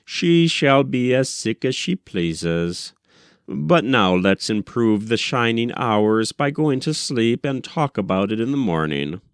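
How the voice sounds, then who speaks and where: A man narrating plays a doting husband and doctor who is sure he knows best. He coaxes and condescends in a smooth, clear voice with swooping intonation. It is storybook dialogue, recorded dry. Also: mid-range voice, expressive, slow pace, no room sound. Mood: indulgent, coaxing. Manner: coaxing, condescending. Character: doting, patronizing husband, a self-assured doctor. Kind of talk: audiobook character dialogue.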